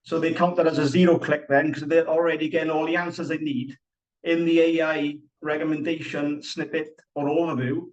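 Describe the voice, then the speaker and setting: A man's voice: on the high side, flat delivery, fairly quick, far from the mic. Welsh man explaining, dull lo-fi audio. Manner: earnest, explanatory, repeats points with fillers. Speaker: presenter. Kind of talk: live online workshop talk. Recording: dull lo-fi sound.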